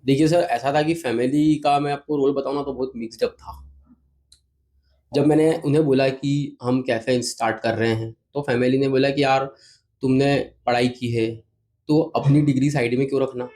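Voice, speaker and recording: An Indian-accented man speaks as an interview guest in a mellow, mid-pitched voice. His delivery is conversational and explanatory, with polite address to the interviewer. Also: steady tone, normal speed. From Indore, India. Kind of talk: conversational interview answers mixing Hindi and English.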